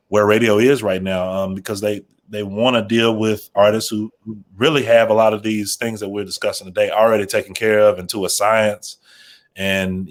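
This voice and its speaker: An American man speaking as a radio program manager on a panel, explaining informally and often restarting phrases as he thinks. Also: on the low side.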